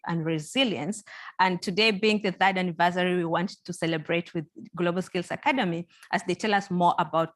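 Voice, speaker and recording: A woman's voice: on the low side, a bit bright. A woman whose first language is Swahili, moderating a panel and giving earnest, encouraging closing remarks, often repeating words and using fillers.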